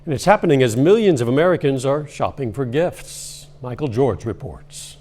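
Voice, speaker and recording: A man's voice: medium pitch, expressive, normal speed, a little mellow. Man anchoring a local evening newscast. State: losing his voice, coughing at times. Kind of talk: scripted newscast reading.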